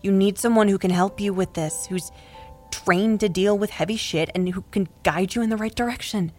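A woman's voice: medium pitch, moderate pace, soft background music, close to an everyday voice. A woman playing a blunt, protective best friend in an audio drama, with a direct, casual and insistent delivery. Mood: earnest, firm. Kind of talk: naturalistic audio drama acting.

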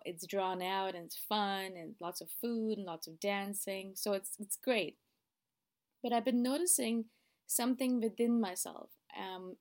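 A chatty woman talks straight to her listeners in a relaxed podcast monologue. Her voice sits at a mid pitch, and she confides her thoughts as if chatting with friends. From Canada. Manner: chatty, confiding, often says "you guys". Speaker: podcast host. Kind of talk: casual reflective podcast monologue.

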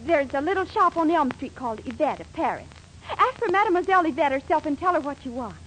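An actress playing a plucky, scheming young sweetheart with an American accent, girlish and brisk, in 1940s radio sitcom style. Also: high voice, animated, fairly bright tone, poor audio. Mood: eager, scheming. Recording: hissy 1940s radio recording.

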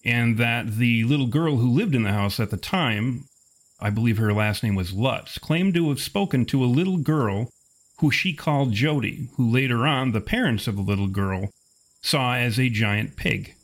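A man's voice: medium pitch, moderate pace. A man narrating a tabletop role-playing game as game master.

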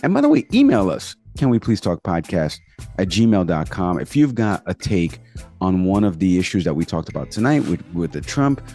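A man's voice: low voice, wildly swooping pitch, normal speed, a little mellow, faint music behind. An American man co-hosting a podcast, giving casual news commentary in an emphatic way, often rephrasing his points. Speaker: podcast co-host.

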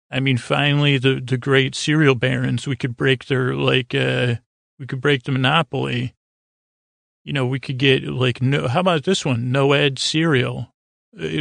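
Male sleep podcast host: creaky, dry recording, wandering monologue full of stammers and doubled-back phrases. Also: mid-range voice, a bit bright, dry recording. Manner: meandering, halting, stammering repeats. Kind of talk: rambling bedtime monologue.

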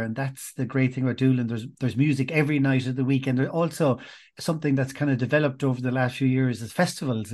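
A man's voice: mid-range voice, a little mellow. A man interviewing a guest, asking friendly prompting questions. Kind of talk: casual interview.

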